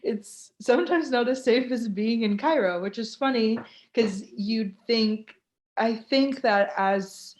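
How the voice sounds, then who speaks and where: A woman's voice: mid-range voice, darkish tone. Chatty American woman hosting a Q&A. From United States (Florida). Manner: chatty, informal, reads questions aloud. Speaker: host, tour ambassador. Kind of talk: hosting a live online Q&A.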